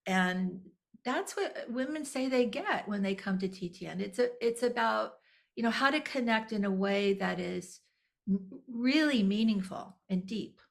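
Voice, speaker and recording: Gravelly sixties woman, candid and reflective. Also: on the high side, expressive, measured pace. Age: 60s. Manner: candid, reflective, frequent restarts and light laughs. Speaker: guest, nonprofit executive director. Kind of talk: conversational podcast interview.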